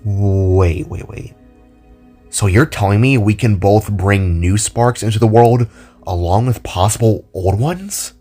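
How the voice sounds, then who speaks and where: A man's voice: on the low side, measured pace, mellow, soft background music. Laid-back kid brother, casual and teasing, voiced by a man for an audio drama. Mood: eager. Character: younger brother, playful expectant father.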